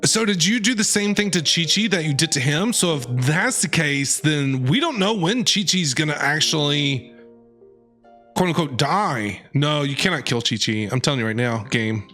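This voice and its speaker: A man's voice: on the high side, lively intonation, moderate pace, pushed and bright, faint music behind. A man streaming with casual, playful, chatty reactions to a game as he plays.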